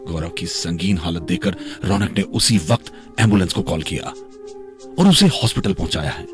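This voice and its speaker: A man's voice: sits low, normal speed, over music. A man reading scripted narration for a radio drama.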